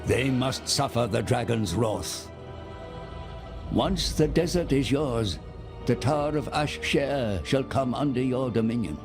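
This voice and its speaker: A man's voice: medium pitch, expressive, unhurried, music in the background. A man playing a cunning seer who advises dragons and demons in a video game cinematic, grave, portentous and persuasive. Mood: portentous, solemn.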